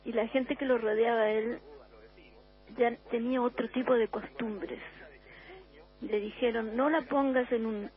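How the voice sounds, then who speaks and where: An older woman from Uruguay with a Chilean accent, a musician guest on a radio interview, talking in a reflective, unhurried way with many restarts. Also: a little high, steady tone, phone-quality sound.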